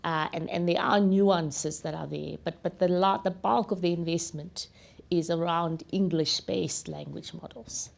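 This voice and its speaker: A woman from South Africa speaking as a deputy central bank governor on a panel, steady and explanatory. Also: fairly low pitch, a little slow, creaky. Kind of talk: panel discussion.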